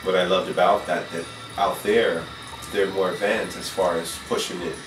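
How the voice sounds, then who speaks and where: Deep-voiced middle-aged man in echoey room. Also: steady tone, moderate pace, distant mic. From Puerto Rico, raised in New York City. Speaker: fashion designer being interviewed. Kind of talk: casual interview talk. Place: designer's indoor studio with sewing machines. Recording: echoey room, off-mic, music behind.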